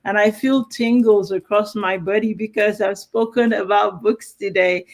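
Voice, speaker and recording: A woman with a Nigerian accent hosts a live talk show at an even, middling pitch. She chats warmly with guests and often stops for fillers and restarts. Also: moderate pace. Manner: warm, chatty, with fillers and restarts. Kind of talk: live show interview, casual.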